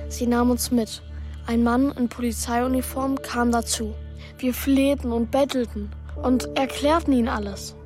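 A man's voice: very high voice, fairly even intonation, a little slow. A young boy actor playing a thoughtful, determined boy who narrates his adventure in a German children's radio play. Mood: narrating, earnest. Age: child or young teen boy. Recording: music behind the voice.

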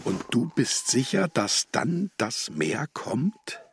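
An actor playing a hesitant, doubtful man in a children's radio play, speaking in a halting, questioning way. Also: medium pitch, big pitch swings, gravelly, a little hiss or hum, full character voice. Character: hesitant, doubtful man with a feather in his hat.

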